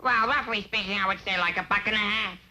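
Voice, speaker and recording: A woman voicing a brisk, streetwise pond duck in an old cartoon, speaking in slang. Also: mid-range voice, fairly even intonation, sharp tone. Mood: matter-of-fact. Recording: noisy old TV cartoon soundtrack.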